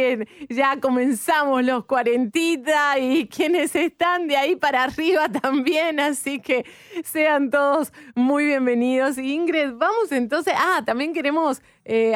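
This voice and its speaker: Bubbly high-voiced woman radio co-host. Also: dry recording, clean studio sound. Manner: bubbly, enthusiastic. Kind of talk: radio talk show co-hosting.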